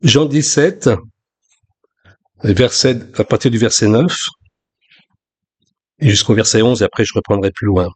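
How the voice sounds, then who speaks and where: A man from France in his sixties, preaching a sermon in a relaxed, conversational way with frequent restarts. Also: fairly low pitch, measured pace, long pauses, breathy edge. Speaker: pastor.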